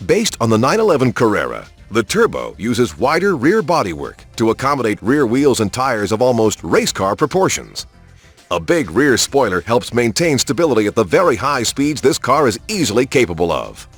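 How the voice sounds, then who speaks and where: A man reading scripted promotional documentary narration in a polished, measured announcer style, as for a car showcase in a video game. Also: medium pitch, very animated, breathy edge. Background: prominent background music.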